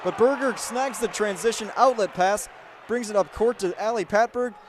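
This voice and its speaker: A man's voice: sits high, normal speed, crowd noise, background noise. A young man in his twenties giving radio play-by-play commentary of a basketball game. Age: 20s. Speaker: student sports broadcaster and reporter. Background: basketball game ambience.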